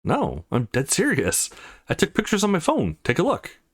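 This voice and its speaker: A man's voice: sits high, huge pitch swings, moderate pace, husky, pitched above the performer's own voice, full character voice. A man voicing an earnest, troubled teenage boy in a visual novel, serious and insistent as he explains himself. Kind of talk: visual novel character reading.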